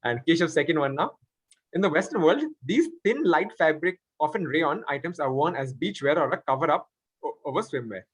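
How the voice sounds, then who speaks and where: A man's voice: on the high side, lively intonation, a little fast, slightly rough, no echo. A man hosting a quiz, reading out questions and confirming answers. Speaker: quiz master. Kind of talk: reading quiz questions aloud, with spoken asides.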